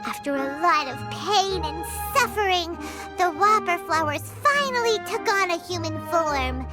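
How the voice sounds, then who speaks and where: Rich: A tiny, childish floating companion girl in a video game. She speaks unhurriedly with a very high, lively voice. Game music plays clearly behind her. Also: a little slow. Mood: excited. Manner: bubbly, refers to herself by name.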